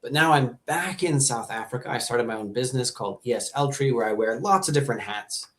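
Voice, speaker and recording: A man's voice: medium pitch, expressive, quick pace, fairly bright tone. Upbeat chatty American-born man host. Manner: upbeat, chatty, repeats words. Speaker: host, ESL teacher and music producer. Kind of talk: live webinar hosting.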